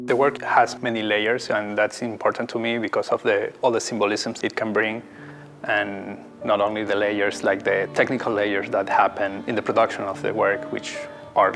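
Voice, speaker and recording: A deep-voiced man from Ecuador speaks in English. His voice has a gravelly edge and stays in a narrow, flat range. He talks reflectively about his art and often restarts his sentences, with guitar music playing behind him. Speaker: visual artist. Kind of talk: documentary interview.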